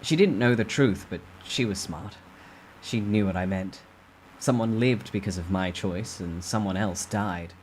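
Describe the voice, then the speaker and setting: A man's voice: fairly low pitch, unhurried, slight background noise, low-quality recording. A man reading a first-person story aloud as a dramatic storytime narration.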